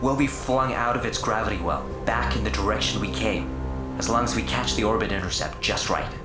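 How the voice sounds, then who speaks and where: A man's voice: on the low side, fairly quick, natural voice. A man playing a precise, cautious ship's science officer in a science fiction audio drama, speaking in formal, technical phrases. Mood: calm, factual. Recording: echoey voice under loud music.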